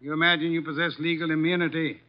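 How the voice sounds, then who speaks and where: Male actor as irritable detective, formal and pompous, fairly high voice, 1950s radio acting, muffled band-limited sound. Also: flat delivery, fast, close to an everyday voice. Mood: stern, commanding. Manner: pompous, formal, precise diction. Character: imperious, irritable, money-minded male private detective.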